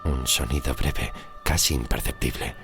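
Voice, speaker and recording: A man from narrates a scripted horror story with suspense, in short weighted phrases that often sink into a breathy hush. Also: rumbling low, normal speed. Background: music.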